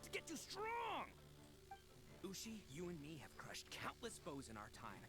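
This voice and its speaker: A man's voice: high-pitched, huge pitch swings, a little slow, heavily acted. A man voicing a boisterous, cocky young brawler in a video game, brash and bombastic. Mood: boastful, fired up. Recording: game music behind.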